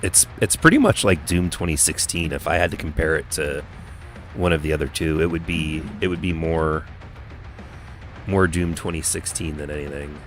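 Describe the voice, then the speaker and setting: A middle-aged man, hoarse and croaky after being sick, rambling through laid-back live gameplay commentary. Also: very deep voice, moderate pace. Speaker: game streamer. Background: game music.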